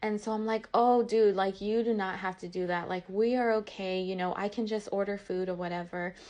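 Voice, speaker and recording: A woman's voice: mid-range voice, fairly even intonation, brisk, darkish tone, highs cut off. Emotional, venting woman telling personal stories. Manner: emotional, venting, says "like" constantly; passionate, emphatic. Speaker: online content creator. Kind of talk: candid personal storytelling.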